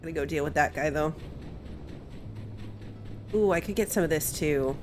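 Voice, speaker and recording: A woman's voice: deep voice, normal speed. A woman hosting a gaming video with casual, chatty commentary, thinking aloud as she plays. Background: faint game music.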